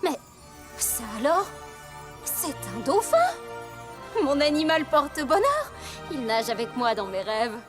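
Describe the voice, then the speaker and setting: A woman's voice: high-pitched, big pitch swings, measured pace, long pauses, music in the background. A woman voicing a flamboyant, self-important female pony pop star in a French cartoon dub, theatrical and commanding. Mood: amazed.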